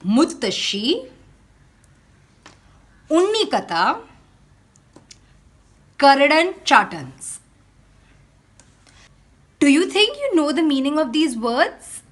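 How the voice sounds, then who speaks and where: A woman teacher reading a children's story aloud in a deliberate, instructional way, with expressive storytelling phrasing. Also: high voice.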